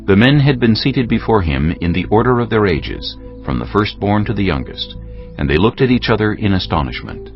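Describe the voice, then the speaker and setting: A man's voice: fairly low pitch, big pitch swings, fairly quick, vocal fry, muffled recording. A man narrating scripture as a scripted dramatic reading. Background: music.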